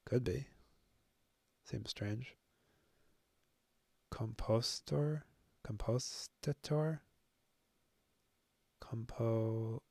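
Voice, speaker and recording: A young man thinking aloud as he works through a language on his own, musing and joking at his own expense, halting often with fillers. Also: medium pitch, creaky, darkish tone, no room sound. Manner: musing, self-deprecating, halting with many fillers. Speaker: language-learning video host. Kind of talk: thinking aloud while self-studying.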